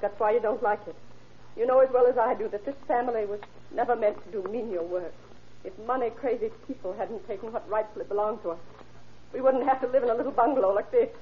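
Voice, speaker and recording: An actress playing a brooding, class-obsessed, quietly unhinged mother of grown sons in an old radio drama, with a halting, plaintive delivery. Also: a little high, breathy edge. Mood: bitter, brooding. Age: middle-aged or older. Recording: noisy, tinny old radio recording.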